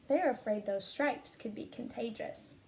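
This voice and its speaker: A woman's voice: a little high, measured pace, gravelly, darkish tone, slight background noise. A woman voicing an apologetic male school principal from a storybook in an official manner.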